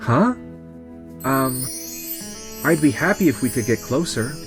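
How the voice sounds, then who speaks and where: A man's voice: mid-range voice, expressive, measured pace, over music, lightly acted. Man as an earnest, courteous young male nurse. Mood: modest, shy. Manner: polite, sincere. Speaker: lead and narrator in a manga dub. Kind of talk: scripted dub acting and narration.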